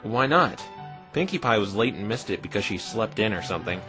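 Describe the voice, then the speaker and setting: A man's voice: on the low side, big pitch swings, brisk, over music. A man playing a dry, sarcastic, easily exasperated young defense lawyer in a fan-dubbed visual novel. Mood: dry, questioning. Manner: dry, sardonic. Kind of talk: fan-dub visual novel character acting.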